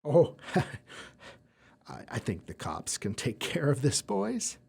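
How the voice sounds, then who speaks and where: Audio drama: actor playing anxious male academic, husky, hesitant, stammering, with lively pitch swings. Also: slow, exaggerated voice. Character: flustered, worried male paleontology professor.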